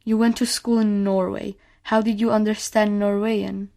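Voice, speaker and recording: A woman's voice: mid-range voice, little pitch movement, normal speed, creaky, dark tone, lightly acted. A female performer playing a curious, eager seven-year-old daughter in a scripted radio play. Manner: inquisitive, pleading.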